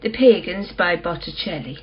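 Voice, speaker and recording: Radio drama casting: mysterious female art dealer played by a woman, lowish voice, cool, terse and controlled, slightly muffled sound. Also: lively intonation, normal speed, lightly acted. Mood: enigmatic. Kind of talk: radio play acting.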